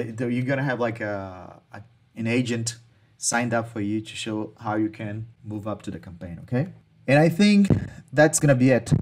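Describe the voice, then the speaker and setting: Man walking through software features. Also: medium pitch. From Brazil. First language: Portuguese. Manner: casual, repeats words and restarts phrases, non-native phrasing. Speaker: marketing tutorial host. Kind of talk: screen-share software walkthrough.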